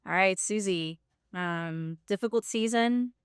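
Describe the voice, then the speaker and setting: Smooth-voiced woman host. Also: mid-range voice, measured pace, no room sound, studio quality. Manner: affirming, leads the talk. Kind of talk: casual faith conversation.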